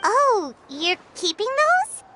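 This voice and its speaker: A woman voicing a tiny, excitable, childlike fairy companion in a video game, squeaky and bubbly. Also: slow pace, exaggerated voice. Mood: surprised, curious. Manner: bubbly, refers to herself by name. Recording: game stream with loud background noise.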